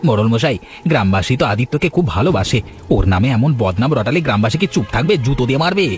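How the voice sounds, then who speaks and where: A man's voice: medium pitch, expressive, quick pace, lightly acted. A man voicing a village headman's scheming, fawning and bullying henchman in a cartoon. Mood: scheming, deferential. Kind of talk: Bengali cartoon villain acting. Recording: music behind the voice.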